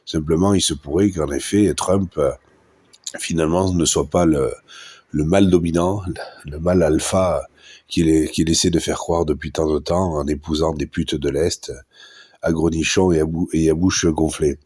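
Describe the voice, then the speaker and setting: A man's voice: extremely low pitch, fairly even intonation, normal speed, a little mellow, slightly rough. A man with a head cold, hosting a live podcast and giving a blunt, crude monologue of opinions full of hesitations and restarts. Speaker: podcast host. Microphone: live podcast mic.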